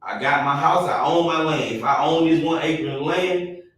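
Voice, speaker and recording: A man, hosting a heated casual debate and talking forcefully, with emphatic repetition. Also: fairly high pitch, normal speed. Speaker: stream host. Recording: echoey room, mic at a distance.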